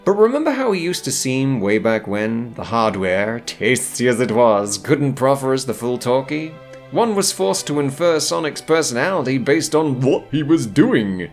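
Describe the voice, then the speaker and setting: A man's voice: mid-range voice, lively intonation, moderate pace. A man narrating a scripted, humorous video essay in a wordy, theatrical and sarcastic way. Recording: music behind the voice. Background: background music.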